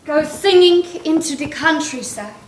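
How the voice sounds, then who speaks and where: A woman's voice: sits high, expressive, unhurried. A woman acting a tender, devoted young sweetheart in a stage play, reciting with poetic earnestness. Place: indoor theatre stage, recorded from a distance. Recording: echoey, noisy stage, distant mic.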